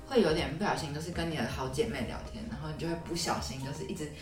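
A woman's voice: deep voice, racing. A young woman with a Taiwanese Mandarin accent co-hosting a podcast in casual conversation, recorded with a roomy echo. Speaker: podcast co-host.

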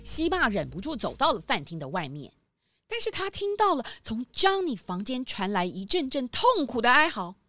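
A woman's voice: on the high side, huge pitch swings, normal speed, pushed and bright, no echo, soft background music. A woman narrating a scripted children's story as the narrator of an audio drama.

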